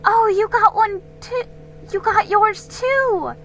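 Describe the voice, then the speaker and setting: A woman voicing an eager, wide-eyed young colonial girl in a storybook, exclaiming excitedly. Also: very high voice, slow pace, faint music behind, pitched above the performer's own voice, heavily acted, low-quality recording.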